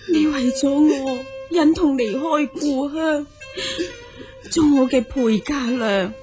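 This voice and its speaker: A devoted noble mother in a radio drama. Her high voice moves slowly, with sorrowful, pleading weight. Soft music sits underneath. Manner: tearful, pleading.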